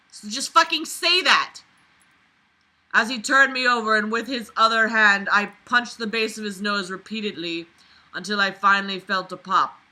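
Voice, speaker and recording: A woman's voice: mid-range voice, pushed and bright, rough recording. A woman reading a book aloud and breaking in with sarcastic, indignant, sweary commentary. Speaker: vlogger reading and critiquing a novel.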